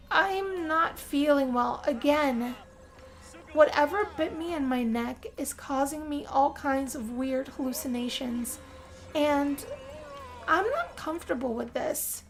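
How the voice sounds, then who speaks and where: A woman telling a first-person role-play story as game narration, theatrical, with drawn-out phrases and pauses. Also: sits high. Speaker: gameplay narrator.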